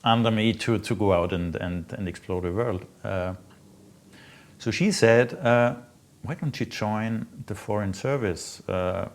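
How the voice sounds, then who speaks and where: A middle-aged man from Austria with an Austrian accent, a native German speaker, telling his life story off the cuff in a halting, reflective way. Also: on the low side. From Austria, Salzburg province. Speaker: interviewee recounting own life. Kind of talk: unscripted personal storytelling.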